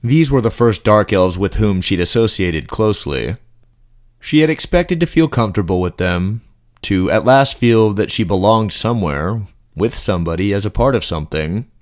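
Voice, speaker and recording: A man's voice: fairly low pitch, expressive, normal speed. A man reading scripted fantasy story narration as an audiobook narrator.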